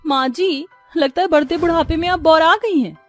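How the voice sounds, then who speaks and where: A woman's voice: extremely high pitch, moderate pace, voice pushed up. A woman voicing a vain, whiny, insolent young daughter-in-law in a cartoon story, with theatrical, defiant delivery. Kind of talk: Hindi cartoon story character acting. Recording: music under the voice.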